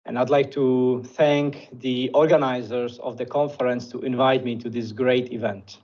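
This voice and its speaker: A man with a Czech accent speaking in English at a steady, mid-pitched level. He presents formally and pauses often with small hesitations. The video-call audio sounds dull and slightly muffled. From Czech Republic. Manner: measured, with frequent hesitations. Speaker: conference presenter.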